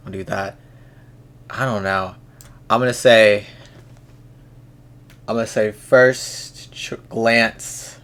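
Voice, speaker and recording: A man's voice: on the low side, steady tone, slow pace, long pauses, fairly bright tone. A young man hosting a reaction video, talking casually and frankly, with lots of restarts and swearing. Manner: rambling, frank, swears freely, many restarts.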